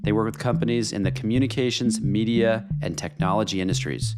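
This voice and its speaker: Male podcast host with a lowish voice and a measured, polished read. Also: loud music behind the voice. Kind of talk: scripted intro then interview questions.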